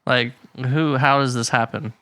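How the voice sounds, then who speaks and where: A man's voice: mid-range voice, steady tone, unhurried, a bit bright, dry recording. A man co-hosting a casual podcast chat, rambling with frequent restarts and laughing often. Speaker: podcast co-host. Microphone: pop filter.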